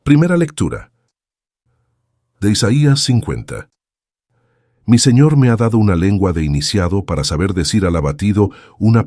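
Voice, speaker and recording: Deep male reader, earnest scripture reading, dry sound. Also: a little mellow, no echo.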